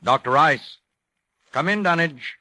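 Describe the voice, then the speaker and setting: An actor playing a formal announcer on a suspense show, in 1940s radio style. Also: male voice, a little high, expressive, a little slow, pushed and bright, no echo, heavily acted. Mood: concerned, urgent.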